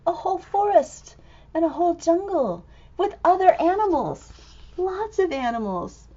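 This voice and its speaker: A woman storyteller reading a picture book to young children in an animated, exclamatory way, full of expressive wonder. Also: very high voice, measured pace, lo-fi sound. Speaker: storytime reader and teacher.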